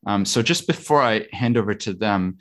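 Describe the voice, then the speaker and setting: A middle-aged man hosts a podcast and panel event in a fairly low voice. He speaks as the one introducing guests and setting out the session. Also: animated, moderate pace, a bit bright. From New Zealand. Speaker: podcast host and panel chair.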